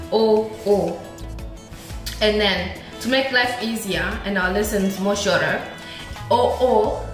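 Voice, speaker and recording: Young woman teaching, quick and echoey. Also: mid-range voice. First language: Ebembe. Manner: quick, friendly explaining with repeated vocabulary drills. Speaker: language teacher. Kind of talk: casual online language lesson. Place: small indoor room. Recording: very echoey room with clear background music. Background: music.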